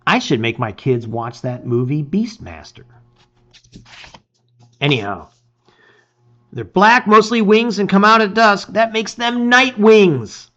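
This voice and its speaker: Man, middle-aged, dramatic storybook narration, bright forceful tone, lively intonation, slightly muffled sound. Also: high voice, takes it slowly, pauses often. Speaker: storybook reader. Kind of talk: dramatic reading of a fantasy adventure.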